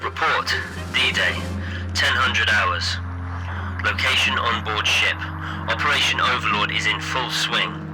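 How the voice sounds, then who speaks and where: An actor playing a brisk wartime military radio reporter in an audio play, giving formal, clipped reports. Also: male voice, mid-range voice, over loud music, natural voice, poor audio. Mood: brisk, official.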